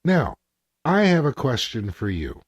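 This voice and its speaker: A man's voice: mid-range voice, huge pitch swings, measured pace, husky, no echo. A man co-hosting a fan podcast, casual, agreeable and easygoing. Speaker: podcast co-host. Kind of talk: casual chat.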